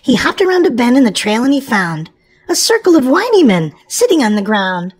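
A woman's voice: a little high, big pitch swings, brisk, husky. A woman hosting a children's show and reading a storybook aloud in an exclamatory way, as if to young children. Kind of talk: animated children's read-aloud narration.

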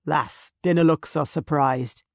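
A narrator voicing a determined Highland clan chief, firm and urgent in his orders. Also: fairly even intonation, a little slow, gravelly, no echo, studio quality.

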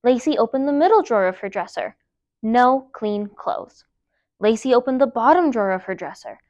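A woman reads a picture book to children with animated, deliberate emphasis. Her voice sits fairly high and moves widely in pitch, recorded dry on a clip-on mic indoors. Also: no room sound, highs cut off. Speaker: library storytime reader. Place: indoor room, seated before a dark wall. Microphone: clip-on lapel mic.

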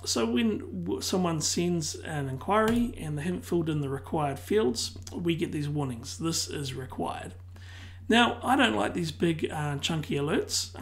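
A tutor losing their voice who keeps clearing their throat, calmly explaining a software tutorial one step at a time. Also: mid-range voice. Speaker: web design tutor. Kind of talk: screen-recorded software tutorial.

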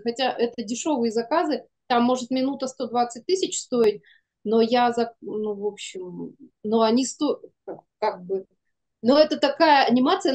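A woman in her forties with a fairly high voice. She talks informally in a video-call conversation, rewording her thoughts as she goes. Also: a little mellow. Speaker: animation studio producer. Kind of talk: casual conversational interview. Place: indoors in a room, on a video call.

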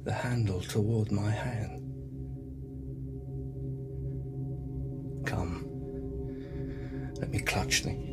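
A man acts out a tormented, murderous nobleman in a Shakespearean stage tragedy. His delivery is very slow, dramatic and brooding, in a lowish voice, with music clearly playing behind him. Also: fairly even intonation. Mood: haunted, uncertain. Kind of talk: theatrical Shakespearean soliloquy.

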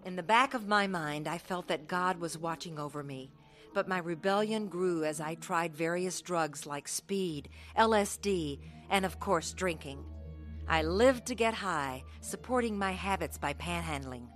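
A woman telling her own life story on a radio play, reflective and measured, soft music behind. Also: on the low side. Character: grown woman recounting her troubled youth. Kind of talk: scripted first-person radio drama narration.

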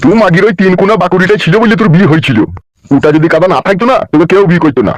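A man's voice: sits high, animated, racing. A man voicing a hot-tempered, boastful, crude village husband in a comic cartoon. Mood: scolding, boastful. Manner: blustering, scolding, crude. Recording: noisy amateur voice track with clicks.

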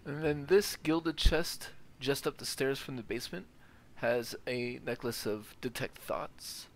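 A man's voice: medium pitch, measured pace, low-quality recording. A man giving a casual, explanatory video game walkthrough commentary.

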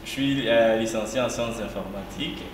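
A man's voice: fairly low pitch, normal speed. Young man heard from far in an echoey room. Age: young adult. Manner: modest, slightly hesitant. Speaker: organization coordinator, guest. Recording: distant, very echoey, noisy.

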